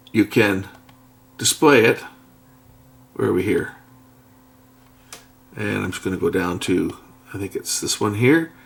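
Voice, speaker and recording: A man's voice: on the low side, gravelly, fairly bright tone. A middle-aged man gives a casual hands-on demo at a workbench, talking himself through menus. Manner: thinking aloud while pressing buttons, unhurried. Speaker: product reviewer. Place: indoors at a workbench.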